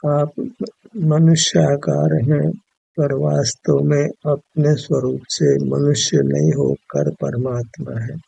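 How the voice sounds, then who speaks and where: A man leading a guided meditation with spiritual teaching, speaking in measured, formal, instructive phrases. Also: a little high, level tone, creaky, dark tone, slightly rough, dry recording, dull audio.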